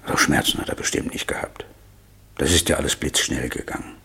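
An actor playing a railway crossing keeper in a radio play, gruff and husky, speaking haltingly and soothingly to a widow. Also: male voice, pushed and bright, exaggerated voice. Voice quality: gravelly, husky. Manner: soothing, halting, low-voiced.

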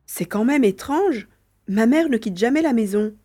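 A woman's voice: on the high side, animated, normal speed, mellow, reverberant, close to an everyday voice. A woman playing an earnest, devoted young hero with an emotional, affectionate manner in an audio drama. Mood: concerned.

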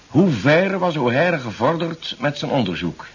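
Husky, cold scheming mastermind. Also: male voice, on the high side, very animated, full character voice. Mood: coolly probing. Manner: measured, methodical, coolly authoritative. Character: cold, scheming alien-controlled leader plotting mass extermination. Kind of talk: 1970s radio drama acting. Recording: dull, band-limited 1970s radio recording.

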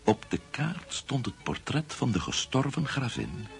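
A man's voice: medium pitch, big pitch swings, measured pace, gravelly, faint music behind. An actor playing a man who tells an eerie tale in a radio drama. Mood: ominous, hushed. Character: dramatic male storyteller recounting an eerie tale.

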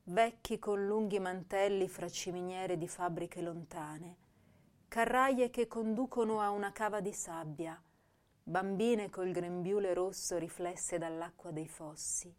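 An actress playing an intense, tender young woman poet, reading letters and verse in a lyrical, measured, dramatic way for a radio drama. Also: lowish voice, lightly acted. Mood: wistful.

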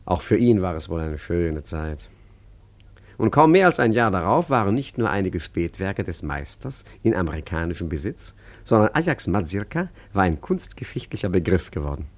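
A low male voice as a wily, urbane art forger in a radio play. He is obliging and deferential, with dry understatement. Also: big pitch swings, normal speed. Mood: wry narrating. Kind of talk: German radio play acting and narration.

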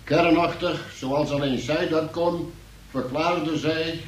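A man's voice: fairly high pitch, fairly even intonation, takes it slowly, echoey room, far from the mic, slight background noise, low-quality recording. An actor playing a wry arrested man who narrates his statement in mock-formal style for a Dutch radio play. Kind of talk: scripted first-person radio play narration.

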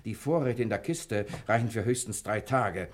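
An actor playing a suave, self-assured gentleman thief in a 1960s German radio crime drama, speaking with polite, flowery mock courtesy. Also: on the low side, moderate pace, lightly acted. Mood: breezy, cheeky.

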